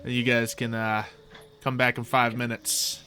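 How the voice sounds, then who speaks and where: A man's voice: medium pitch, little pitch movement, measured pace, bright, forceful tone, clear, resonant tone. A man chatting casually and jokingly as game master to his tabletop players.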